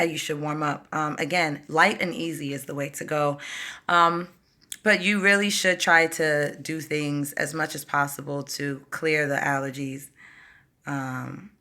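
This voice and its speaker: A woman vocal coach with a slightly hoarse, tired voice, chatting directly to viewers during a live-stream lesson and answering their questions. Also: deep voice, sharp tone. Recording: roomy echo.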